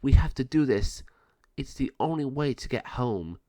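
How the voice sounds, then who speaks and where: A man voicing a brave male bird companion in a steady, resolute way. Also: medium pitch, normal speed, dry recording, lightly acted.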